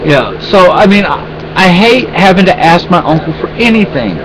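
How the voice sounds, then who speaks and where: A man's voice: high-pitched, lively intonation, normal speed, crowd noise, rough recording. A man venting in a rambling, exasperated way in a casual home vlog, with many restarts. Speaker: vlogger.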